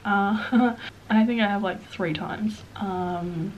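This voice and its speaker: A woman's voice: mid-range voice, takes it slowly, poor audio. Young woman, twenties, Australian accent, nasal, sniffly, casual rambling chat, faint background hiss. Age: 20s. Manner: rambling, self-deprecating, drops to soft whispers at times. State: sniffly, stuffed-up nose. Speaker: vlogger.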